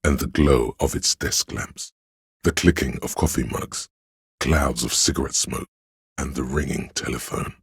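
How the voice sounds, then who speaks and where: A man narrating a game script in a measured, grave, literary manner, with a very deep, gravelly, husky voice. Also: steady tone, no echo, clean studio sound.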